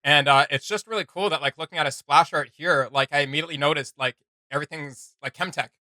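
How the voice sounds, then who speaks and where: A man's voice: fairly high pitch, fast, pushed and bright, no echo. A young man co-hosting a reaction video, chatting casually and enthusiastically, with frequent fillers and laughs.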